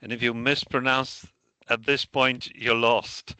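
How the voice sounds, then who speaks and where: A man hosting an interview, speaking in halting, formal phrases. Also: medium pitch, little pitch movement, sharp tone, clear, resonant tone, no room sound. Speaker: host.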